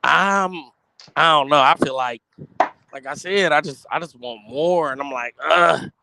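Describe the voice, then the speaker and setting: A man in his forties co-hosting a podcast, slangy, joking and animated in casual chat. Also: on the high side, measured pace, creaky, background noise. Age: 40s. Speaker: podcast co-host.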